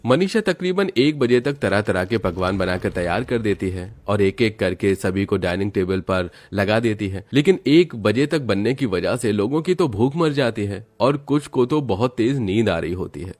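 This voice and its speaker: A man with a low-pitched voice narrates a scripted family story. He delivers it as a storyteller reading prepared text. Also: expressive, normal speed, few pauses, a little mellow.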